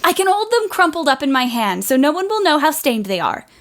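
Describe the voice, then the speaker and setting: A woman's voice: sits high, big pitch swings, a little fast, a bit bright. A woman playing an exuberant, blunt, tomboyish teenage girl in an audio drama, with some noise in the recording. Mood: breezy. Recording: noticeable background noise.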